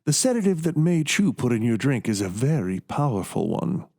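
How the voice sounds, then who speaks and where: Actor as a scheming, animated mad doctor. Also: male voice, medium pitch. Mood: smug, menacing. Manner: smooth condescending menace, deliberate commands. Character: coldly scheming mad doctor, calm and gloating. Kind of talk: radio play acting.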